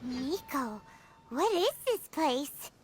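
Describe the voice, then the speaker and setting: Tiny childlike fairy girl from anime game, super high squeaky, excitable n timid, bounces all over. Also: slow pace, slight background noise, heavily acted. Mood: curious. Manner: refers to self in third person, wide-eyed.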